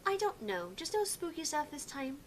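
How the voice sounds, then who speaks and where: Girl gamer doing an exasperated young student council vp, high lively voice, sorry but in charge, visual novel style. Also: moderate pace. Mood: organising, hesitant. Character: young student council vice president, bossy but apologetic. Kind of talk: acted visual novel dialogue. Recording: clear background noise.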